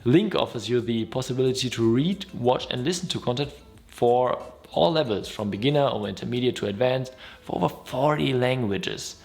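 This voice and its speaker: A man with a German accent talks straight to his viewers. His voice sits in an ordinary middle pitch, and his delivery is friendly, upbeat and easygoing, like a casual explainer vlog. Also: normal speed, gravelly, darkish tone. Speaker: YouTube host.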